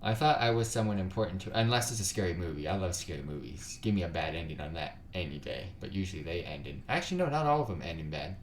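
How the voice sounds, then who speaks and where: Deep male gamer, casual rambling let's-play commentary, echoey room with faint hiss. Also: expressive, fast, few pauses. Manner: casual, rambling, filler-heavy. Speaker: gaming channel host.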